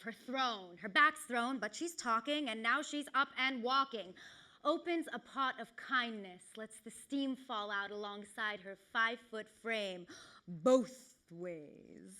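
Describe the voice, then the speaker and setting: A woman performing a dramatic spoken-word monologue, with poetic, deliberate phrasing and a theatrical delivery that moves between hushed and forceful. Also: fairly high pitch. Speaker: actor and writer performing a self-written monologue.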